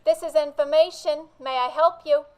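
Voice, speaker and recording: A high-pitched telephone operator played by a woman in a radio drama. She is brisk and formal, delivering polite stock phrases in clipped fashion. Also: steady tone, darkish tone, slight background noise, lightly acted. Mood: businesslike. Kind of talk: radio play acting.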